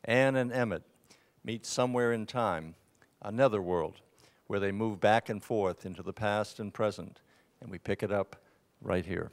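A man with a low voice introduces a stage play. His delivery is formal and scripted. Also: moderate pace. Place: conference hall stage.

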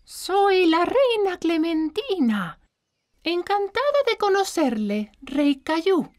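A woman's voice: very high voice, big pitch swings, takes it slowly, darkish tone, full character voice. A woman voicing a cheerful, generous little girl in a children's cartoon, sweet and childlike. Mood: playful.

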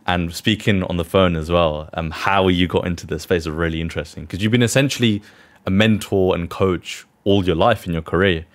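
A young man hosting a podcast, introducing a guest in an enthusiastic but hesitant way with many fillers, in a small studio on a boom mic. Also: brisk, hardly any pauses. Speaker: podcast host. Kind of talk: casual podcast interview. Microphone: studio mic on boom arm.

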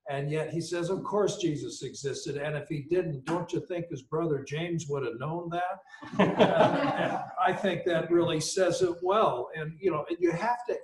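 Emphatic older male scholar, echoey room. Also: fairly high pitch. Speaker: professor, panel guest. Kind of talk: scholarly explanation on a radio panel. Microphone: headset. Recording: echoey room, slightly distant.

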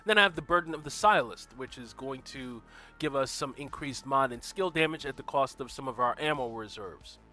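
Stuffy-voiced gamer guy, bright and forceful. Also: medium pitch, a little fast, soft background music. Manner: friendly, greets chat viewers by name; walks through game build details. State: stuffy from a cold, occasional throat clearing. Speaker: gaming livestream host. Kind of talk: casual live gameplay commentary.

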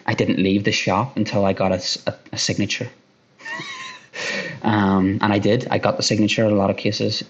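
A man in his thirties with an Irish accent, a sales director answering interview questions on a video call, talking fast and restarting often. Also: on the low side. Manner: fast-talking, repeats himself, many restarts. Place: room at home by a window. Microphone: headphones on a video call. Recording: dull lo-fi call audio.

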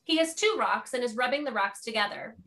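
A woman's voice: a little high, expressive, brisk, background noise. A woman giving scripted narration, reading out the stage directions of a play.

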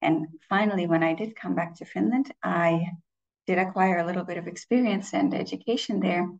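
Woman in her 30s, English speaker, low gravelly voice, reflective presentation delivery. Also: steady tone, dull audio. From Estonia. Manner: reflective, with frequent filler pauses and restarts. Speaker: conference speaker. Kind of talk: personal presentation talk.

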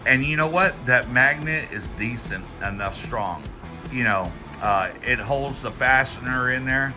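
A middle-aged American man giving a casual, folksy hands-on product review, talking in a halting, rambling way with repeated words. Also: medium pitch, music in the background. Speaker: tool review channel host. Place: indoors at a workbench.

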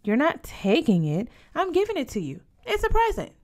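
A woman voicing a bossy, generous teenage girl in a storybook, brisk, teasing and persuasive. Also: sits high, wildly swooping pitch, a little mellow, voice pushed up. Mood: insistent, generous.

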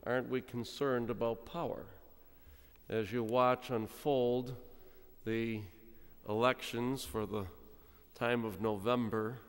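A middle-aged man, a priest, giving an animated, humorous sermon at a pulpit microphone, with sudden quiet drops. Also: mid-range voice, gravelly, clear, resonant tone. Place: large church, speaking at a pulpit. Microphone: stand mic at pulpit. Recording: long church reverb.

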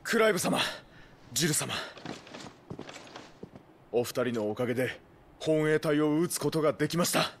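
A man's voice: on the high side, normal speed, long pauses, a little hiss or hum, lightly acted. A man playing a loyal resistance knight in a Japanese video game, speaking formally with deference and earnest conviction. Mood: earnest, respectful.

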